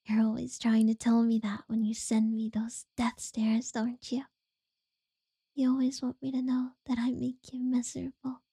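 A woman voicing an obsessive, possessive captor in a whispered audio roleplay, slow and unsettlingly sweet. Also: on the high side, monotone, no echo, studio quality. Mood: creepy tender. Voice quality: soft breathy whisper.

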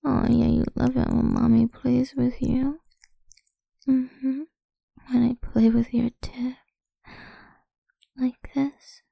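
A woman speaking in a soft, coaxing, mostly whispered voice for an intimate ASMR roleplay. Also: a little high, little pitch movement, takes it slowly, vocal fry, no room sound.

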